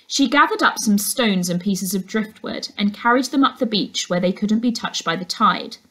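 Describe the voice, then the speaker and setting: A young woman reading a children's novel aloud as a teacher, in an expressive storytelling style. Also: mid-range voice, moderate pace. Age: young adult. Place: furnished indoor room.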